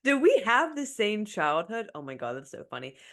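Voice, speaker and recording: A woman's voice: medium pitch, sing-song, moderate pace, a bit bright. A young woman co-hosting a podcast in casual chat, speaking close to a microphone in a small room. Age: young adult. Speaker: podcast co-host. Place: small indoor room, remote video recording.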